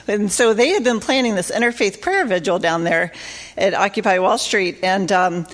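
A woman's voice: mid-range voice, normal speed. A woman organizer giving a conversational conference talk about faith-based advocacy, with many fillers and restarts.

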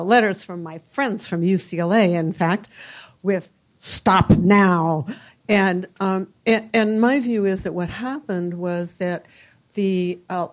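An older woman answering on a university panel, speaking in a reflective way with many fillers. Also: mid-range voice. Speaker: panelist, former university provost.

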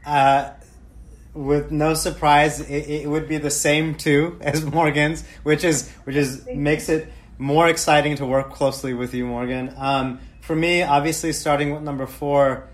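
An American man telling a personal story on a panel in a halting, reflective way. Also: on the high side, little pitch movement. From United States.

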